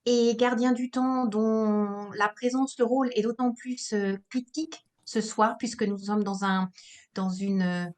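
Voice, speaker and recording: A woman's voice: on the high side, steady tone, unhurried, a little mellow. A woman hosting an online meeting, speaking in long, wordy sentences and repeating phrases as she searches for words. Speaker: meeting host.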